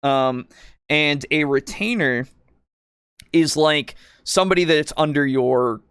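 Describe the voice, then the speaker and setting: A man's voice: on the high side, takes it slowly, a bit bright, clean tone, no echo, studio quality. A man co-hosting a casual tabletop roleplaying discussion, rambling and searching for words, with some casual swearing. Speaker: podcast co-host.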